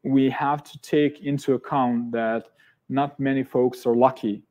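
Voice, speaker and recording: A man's voice: mid-range voice, steady tone, unhurried, mellow. A man with a Serbian accent, speaking English as his second language, hosts an online interview, hesitant with many fillers. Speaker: host.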